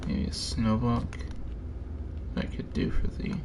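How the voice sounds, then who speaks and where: A man's voice: takes it slowly, background noise. A man giving casual gameplay commentary, thinking aloud and muttering to himself in short fragments. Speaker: streamer.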